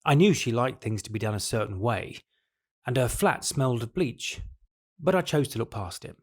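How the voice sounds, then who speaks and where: A man's voice: medium pitch, lively intonation, moderate pace, slightly rough. A man acting a resigned, patient middle-aged husband and father in an audio drama, speaking in a matter-of-fact, weary way. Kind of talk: audio drama acting, confessional monologue and dialogue.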